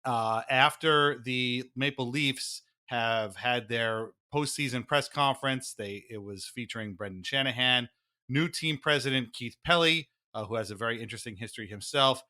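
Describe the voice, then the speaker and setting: A man's voice: mid-range voice, normal speed, bright, forceful tone. A man hosting a podcast in a theatrical, announcer-like, mock-formal way, moving between banter and dramatic reading. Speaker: podcast host.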